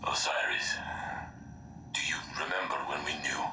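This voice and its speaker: A man's voice: rumbling low, steady tone, normal speed, in a whisper. A man playing a tender, sentimental warrior in love in a video game cutscene, reminiscing earnestly and romantically. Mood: fond, nostalgic. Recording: roomy, slightly distant sound.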